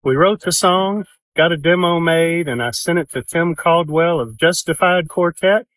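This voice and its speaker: A male songwriter telling the story behind a song in a relaxed storytelling way. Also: fairly high pitch, moderate pace. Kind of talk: interview clip.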